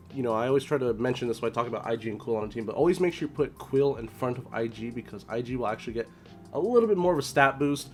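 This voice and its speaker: A young man with a slightly hoarse voice, talking casually at home through a game strategy walkthrough, full of ums and restarts. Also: medium pitch. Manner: chatty, many ums and restarts. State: slightly hoarse, recovering from illness. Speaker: game guide creator. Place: home room, indoors.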